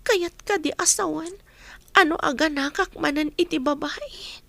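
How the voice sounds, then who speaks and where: A woman's voice: high voice, measured pace, creaky, a bit bright, no echo, exaggerated voice. A chatty young Ilocano mother. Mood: playful. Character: young wife and mother, cheerful. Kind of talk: Ilocano radio drama acting.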